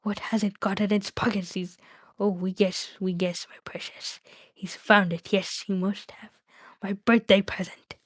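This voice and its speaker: A woman's voice: mid-range voice, flat delivery, measured pace, dry recording, close to an everyday voice. A woman voicing a wretched, suspicious cave creature in a storybook, muttering to itself in a whispery hiss with repetitive baby-talk grammar. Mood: anguished, cursing. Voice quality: whispery, hissing.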